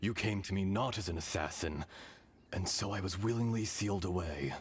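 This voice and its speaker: An actor playing an ancient, weary stone dragon spirit in a game, speaking slowly and gravely in formal speech. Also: male voice, sits low, slight background noise, close to an everyday voice. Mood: solemn. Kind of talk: game voice acting. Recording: game audio with music behind.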